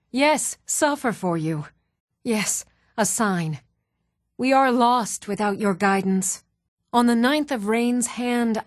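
An earnest young woman of a fantasy game world. She speaks in a measured, serious way at a middling pitch. The sound is dry, with very little room to it. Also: dry recording. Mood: devout. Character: earnest, even-toned young fantasy townswoman and adventurer. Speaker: voice actor. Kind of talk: video game character dialogue.

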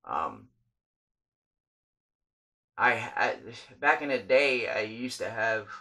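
A man's voice: on the low side, very animated, slow, sharp tone. A man in his thirties vlogging late at night in a small room, rambling with many ums and restarts and casual swearing. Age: 30s. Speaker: YouTuber. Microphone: webcam or handheld phone at close range.

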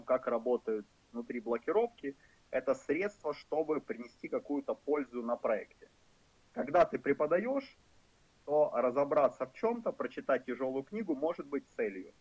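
A man's voice: mid-range voice, darkish tone, husky, dry recording. A man in his thirties with a Ukrainian accent, giving a programming lecture in a frank, wry, loosely rambling way with fillers. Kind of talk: conversational lecture. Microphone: voice-over for a screen recording. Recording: dull lo-fi lecture recording.

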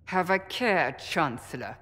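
Stern warrior woman, measured pace. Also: low-pitched, animated, fairly bright tone. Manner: authoritative, clipped. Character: stern, commanding female warrior seeker, blunt and urgent. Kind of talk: video game cutscene acting.

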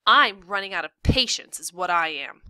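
A woman's voice: fairly low pitch, animated, moderate pace, bright, forceful tone, smooth, no echo, poor audio. A woman voicing an exasperated, firm mother in a story, speaking sternly and emphatically. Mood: stern, impatient.

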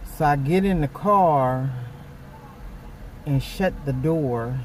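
A woman's voice: low voice, takes it slowly. A middle-aged woman chatting casually to camera in an emphatic, sassy way, telling stories as a vlogger in her car. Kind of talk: casual chat to camera, storytelling. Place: inside a car. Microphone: phone at arm's length or dash-mounted. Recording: distant mic, loud car and outdoor noise.

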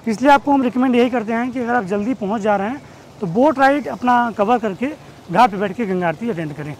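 A man, explaining things as a travel guide to camera, with pauses and English words mixed in. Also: extremely high pitch. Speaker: tour company host and guide. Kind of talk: informational travel vlog to camera. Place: outdoors on open riverside steps. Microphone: clip-on wireless lapel mic. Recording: noisy outdoor ambience, distant engines.

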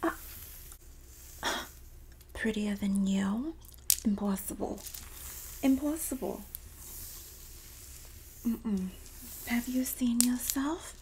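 A woman playing a sassy, supportive Jersey girl in an ASMR roleplay, speaking softly and often whispering in a breathy, doting, gossipy way with a New Jersey accent. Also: fairly high pitch, a little hiss or hum, rough recording. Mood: gossipy, indignant. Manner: slow, gossipy, doting, dramatic emphasis.

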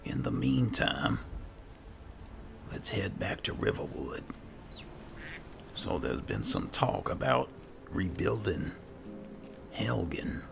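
A man's voice: fairly low pitch, very animated, slow, gravelly, pauses often, over music. A man giving casual in-character commentary while playing a game, murmuring unhurriedly to himself with game ambience behind him. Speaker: gaming roleplay streamer.

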